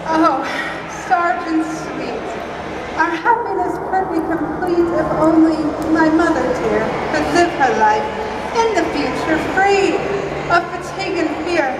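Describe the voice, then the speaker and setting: A woman's voice: very high-pitched, measured pace, heavy background noise. A woman playing a young damsel in distress in a stage melodrama, pleading theatrically. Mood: forlorn. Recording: echoey fairground stage, loud crowd, far off.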